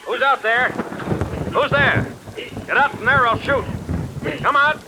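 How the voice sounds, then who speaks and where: An actor playing an excitable engineer talking over a shortwave set in a 1940s radio drama. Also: male voice, extremely high pitch, unhurried. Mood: startled, alarmed. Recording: noisy vintage radio drama recording.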